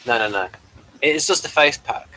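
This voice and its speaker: A man hosting a casual review show, explaining things in a halting, conversational way. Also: mid-range voice, expressive, pushed and bright, poor audio.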